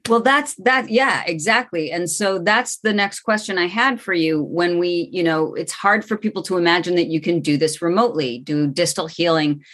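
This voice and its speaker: A woman's voice: fairly low pitch, moderate pace, hardly any pauses, a bit bright. A woman in her fifties interviewing a guest, listening closely and chiming in with short agreements. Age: 50s. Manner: attentive, frequent short agreements. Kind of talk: casual interview talk.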